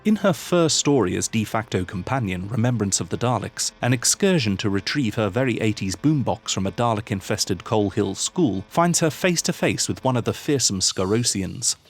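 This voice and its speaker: Low-voiced man narrating a video essay. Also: animated, moderate pace, hardly any pauses, breathy edge, faint music behind. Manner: formal, articulate. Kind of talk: scripted analytical narration.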